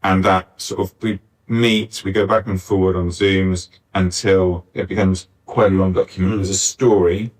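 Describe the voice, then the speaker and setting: A man's voice: sits low, level tone, normal speed, hardly any pauses. A man talking informally as a panellist at a live discussion, on a distant backup recording. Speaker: panellist, pantomime scriptwriter. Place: arts centre venue, picked up from afar.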